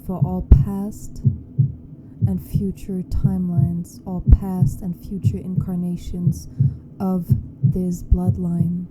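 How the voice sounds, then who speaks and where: A woman leading a guided meditation in a hushed, deliberate manner with long pauses. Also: monotone, poor audio. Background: meditation music with a low hum.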